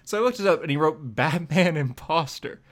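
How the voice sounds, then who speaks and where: A man's voice: on the high side, a little fast. A man co-hosting a podcast in loose, casual chat. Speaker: podcast co-host.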